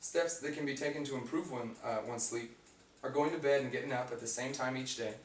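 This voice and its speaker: A young man with a raspy voice giving an informative class speech, reading from notes with fillers and restarts. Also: mid-range voice, fairly quick. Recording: echoey room, mic far off, faint hum.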